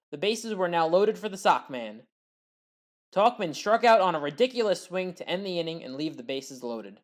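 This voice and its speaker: Woman narrating a sports highlights recap. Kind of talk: scripted play-by-play recap narration.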